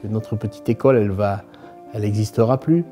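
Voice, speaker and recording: A man's voice: lowish voice, fairly even intonation, fairly quick. A man speaking spontaneously as an interviewee. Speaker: local parent interviewee. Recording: faint music behind. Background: faint instrumental music.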